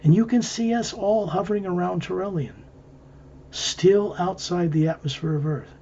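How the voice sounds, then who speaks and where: A man leading an improvised guided visualization, narrating in measured, halting short phrases. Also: high-pitched, dark tone, highs cut off, slight background noise. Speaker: guided meditation leader.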